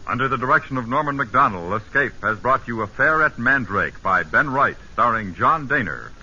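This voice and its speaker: A man's voice: mid-range voice, lively intonation, a little fast, sharp tone, dull audio, faint music behind. A man announcing scripted radio drama credits in a formal, projected vintage style, on an old broadcast recording.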